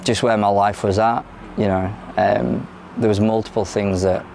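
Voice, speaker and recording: A man in his thirties with an English accent from London, born in Ireland, speaking frankly in a candid interview, often pausing and restarting his sentences. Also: lowish voice, little pitch movement, gravelly, a little mellow, slight background noise. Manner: frank and plain-spoken, with frequent hesitations and restarts. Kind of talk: candid personal testimony.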